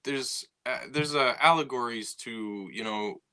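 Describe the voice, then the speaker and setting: Chatty podcast co-host, mid-pitched bright male voice, casual delivery with frequent restarts. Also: a little slow. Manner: talkative, lots of "you know" and restarts. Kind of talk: casual chat.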